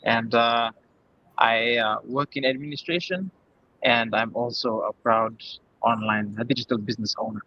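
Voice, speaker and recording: A man, speaking hesitantly with many pauses and fillers as he introduces himself as a guest. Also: mid-range voice, no room sound, muffled recording. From Fiji. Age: 20s. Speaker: guest business owner.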